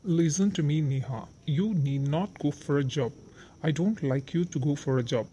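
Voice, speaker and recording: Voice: mid-range voice, moderate pace, warm tone, a little hiss or hum, lightly acted. A performer voicing a firm, protective husband in scripted cartoon story dialogue.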